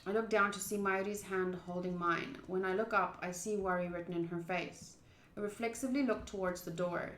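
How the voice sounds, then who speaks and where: Bright-voiced woman streamer in a roomy space. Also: mid-range voice, steady tone, fairly quick, a little hiss or hum. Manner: informal, blunt, swearing. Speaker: streamer playing a visual novel. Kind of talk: livestream commentary mixed with reading game text aloud.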